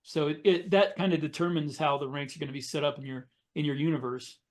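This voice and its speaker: Higher-voiced man explaining on a panel. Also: fairly even intonation, fast. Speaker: podcast panelist and science fiction author. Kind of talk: casual explanatory discussion.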